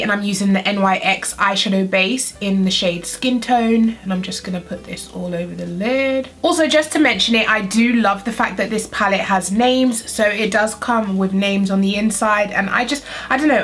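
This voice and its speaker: A woman's voice: medium pitch, fairly bright tone. A young adult woman vlogger talking through a makeup tutorial, her nose blocked from a cold, chatty and full of "like". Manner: chatty, uses "like" often. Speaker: beauty vlogger.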